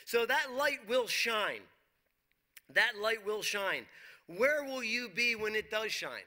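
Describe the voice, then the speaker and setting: A man's voice: sits high, animated, slow pace, pushed and bright. A man in his forties preaching a sermon on a church stage, emphatic, reading scripture aloud and asking the congregation questions. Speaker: pastor. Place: church stage, dark brick wall behind.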